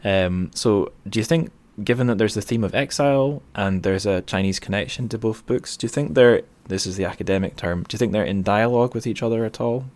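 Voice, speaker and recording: Low-voiced man, tentative podcast interviewer. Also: a little fast, hardly any pauses, a little mellow. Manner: tentative, self-correcting. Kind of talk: casual interview questions.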